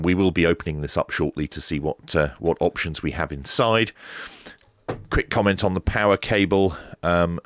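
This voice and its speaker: A man's voice: low-pitched, no echo. A man giving a casual, unscripted unboxing review, explaining things as he goes in a hesitant way. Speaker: radio gadget reviewer.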